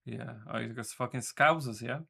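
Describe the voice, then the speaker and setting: A man's voice: mid-range voice, moderate pace, creaky, pushed and bright, slightly rough. A man streaming as a game developer, chatting casually with his viewers in a rambling way, correcting himself and swearing offhandedly. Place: home room.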